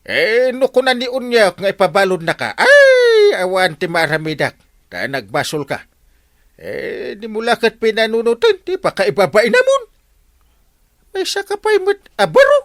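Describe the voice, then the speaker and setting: An exasperated village captain in an Ilocano radio comedy. His slightly high voice leaps all over the place as he scolds and sputters, recorded very dry. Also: normal speed, no room sound, heavily acted. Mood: exasperated scolding. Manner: animated, sputtering, repeats exclamations.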